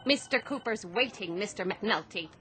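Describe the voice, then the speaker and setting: A woman plays a no-nonsense female secretary in a radio drama, cutting and deadpan. Her mid-pitched, scornful voice sits over prominent music. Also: moderate pace, close to an everyday voice. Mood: brisk.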